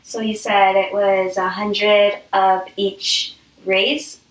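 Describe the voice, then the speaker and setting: Slow-paced woman hosting, distant and roomy. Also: medium pitch, level tone. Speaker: talk show host. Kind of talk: casual interview in a homemade talk show.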